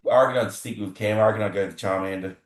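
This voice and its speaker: A man's voice: on the low side, steady tone, rapid-fire, roomy sound. An Australian man in his thirties hosting a casual podcast chat, rambling and often stumbling over repeated words. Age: 30s. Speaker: podcast host.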